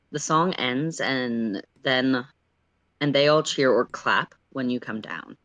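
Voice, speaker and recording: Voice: medium pitch, a bit bright, no room sound. A narrator reading a story aloud on a casual livestream, with playful, self-deprecating asides.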